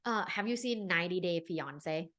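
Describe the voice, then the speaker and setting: A woman's voice: on the low side, expressive, normal speed, a bit bright. A woman from Thailand hosting a podcast, asking questions in a conversational interview style. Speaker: podcast host.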